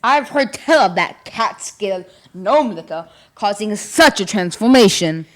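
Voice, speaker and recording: A woman's voice: medium pitch, animated, close to an everyday voice. A young female performer playing a village tale-teller, spinning spooky legends with suspense in a radio play. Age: young teen. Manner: deliberate, suspenseful storytelling. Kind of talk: stage radio play acting.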